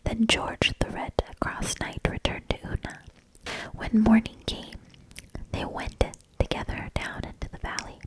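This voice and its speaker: A woman reading a fairy tale in a soft, gentle ASMR whisper, breathy and calming. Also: measured pace, poor audio. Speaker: ASMR reader.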